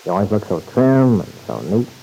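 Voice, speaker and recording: Folksy teasing son, gravelly vintage radio. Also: male voice, on the low side, big pitch swings, mellow, highs cut off. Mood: admiring. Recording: hissy old radio broadcast recording.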